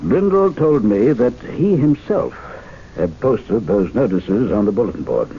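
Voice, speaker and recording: Grave, expressive club gentleman. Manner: measured, formal, dramatic. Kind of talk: old-time radio drama acting. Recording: muffled old radio recording, faint rumbling noise.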